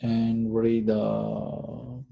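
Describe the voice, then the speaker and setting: A man leading a guided meditation, giving short, deliberate instructions in a gentle, soothing manner. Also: medium pitch, monotone, creaky, slightly rough, lo-fi sound. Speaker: meditation teacher.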